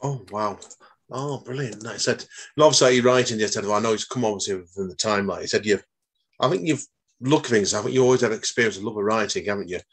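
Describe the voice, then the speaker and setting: Fast, husky middle-aged male host. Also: fairly low pitch. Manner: rambling, leans on "obviously" and "like I said". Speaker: podcast host. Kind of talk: casual interview chat.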